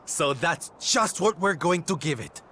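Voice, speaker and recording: A male actor playing a flamboyant, warm and peppy troupe leader in a video game, camp, theatrical and exclamatory. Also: fairly high pitch, expressive, a little slow, heavy background noise. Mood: rousing, grand. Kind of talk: video game cutscene voice acting. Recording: background music under the voice. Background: orchestral game music.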